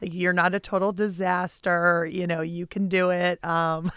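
A woman's voice: lowish voice, level tone, measured pace, fairly bright tone, clean tone, dry recording, clean studio sound. A woman hosting a casual interview, chatting with her guests. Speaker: interviewer, host.

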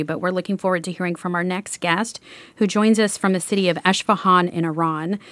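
Measured woman radio host, dry studio sound. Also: on the low side, no echo. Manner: measured, polite introductions. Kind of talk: public radio interviewing.

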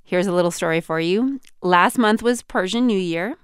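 A woman of Iranian heritage co-hosts a podcast in a smooth, slightly low voice. She banters playfully and asks interview questions, and the recording is close and dry. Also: moderate pace, no room sound. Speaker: podcast co-host. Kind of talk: casual chat and interviewing.

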